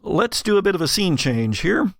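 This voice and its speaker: A man working as game master, narrating a tabletop horror game with suspenseful pauses and vivid description. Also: sits high, big pitch swings, crowd noise. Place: home studio.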